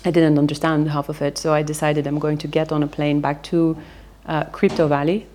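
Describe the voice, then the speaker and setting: A woman's voice: sits low, level tone, a little fast, dark tone. A woman in her thirties, from England, telling her career story candidly in a casual interview, with frequent fillers. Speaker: interviewee, company founder.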